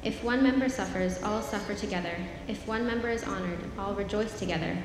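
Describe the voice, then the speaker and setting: A woman reads scripture in a steady, measured voice, distant from the mic. Her voice rings in a very reverberant church hall, with clear room noise behind her. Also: mid-range voice. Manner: steady, measured reading aloud. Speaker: scripture reader. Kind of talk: formal scripture reading. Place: church sanctuary. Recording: very echoey church hall, heard from afar.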